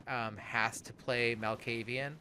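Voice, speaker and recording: A man gives improvised, casual game commentary in a rambling, halting way, often restarting and trailing off. His voice sits at an average male pitch, with steady noise audible behind it. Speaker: let's play podcast host.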